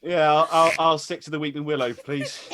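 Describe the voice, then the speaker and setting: A man's voice: on the high side, flat delivery, brisk, a bit bright, lightly acted. A man playing a good-natured, bantering pub regular in a radio comedy. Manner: bantering, chuckly. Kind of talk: radio comedy play reading.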